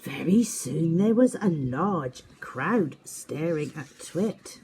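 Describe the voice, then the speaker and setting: A woman's voice: low voice, lively intonation, slow, warm tone, a little hiss or hum. A woman narrating a children's story expressively, as a teacher does at story time.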